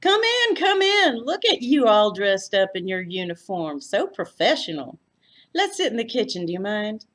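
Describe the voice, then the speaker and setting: A woman voicing a friendly, chatty retired nurse with a deep South Texas drawl in a story read aloud. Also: sing-song, normal speed, a bit bright. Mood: bubbly, welcoming. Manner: effusive, folksy drawl.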